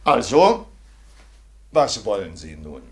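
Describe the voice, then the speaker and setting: An actor playing a self-assured, patronising German army colonel in 1940s radio drama style, with clipped delivery and barked questions. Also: male voice, lowish voice, very animated, takes it slowly, vocal fry, exaggerated voice. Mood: brisk, dismissive.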